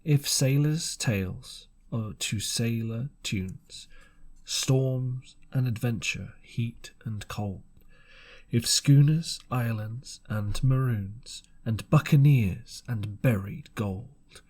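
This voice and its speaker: A man reads a classic story aloud as an audiobook narrator. His delivery is slow and measured, set at a medium male pitch, with deliberate pauses between phrases. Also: darkish tone.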